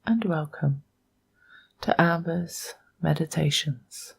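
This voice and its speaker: A woman with a soft, breathy, whispery voice narrates a guided meditation in a hushed, measured manner, leaving long pauses between short phrases. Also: deep voice, low-quality recording.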